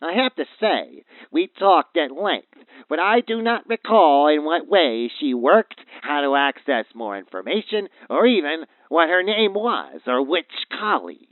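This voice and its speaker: An actor playing a grave, foreboding doctor of paranormal phenomena, speaking formally as a radio play guest over a phone line. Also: male voice, fairly high pitch, very animated, dry recording. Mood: grave, earnest. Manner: formal, ominous, deliberate wording. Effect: phone line filter. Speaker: expert guest calling in to a radio show. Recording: thin, tinny phone line.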